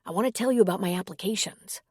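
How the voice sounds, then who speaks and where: A woman reading the part of an earnest, gentle middle-aged man who has just left the priesthood, speaking warmly and persuasively. The delivery is close and dry, with no room sound at all. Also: medium pitch, fast, breathy edge, dry recording, lightly acted. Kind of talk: audiobook character reading.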